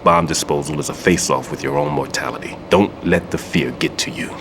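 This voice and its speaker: An actor playing a seasoned older male bomb expert and wary mentor with a measured, grave delivery. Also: extremely low pitch, noisy background. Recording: game cutscene with music.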